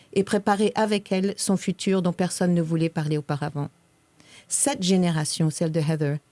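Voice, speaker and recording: A woman co-hosting a podcast, reading a prepared personal reflection in a measured, thoughtful way. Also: mid-range voice, dark tone, dry recording. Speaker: podcast co-host.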